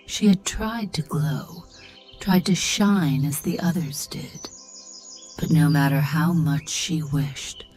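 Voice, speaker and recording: A woman narrates in a very deep, soft and breathy voice, close to a whisper. She takes her time, gently guiding the listener as in a bedtime meditation. Faint music and chirping birds sit behind her. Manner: soothing, gentle, measured. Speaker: sleep story and meditation narrator.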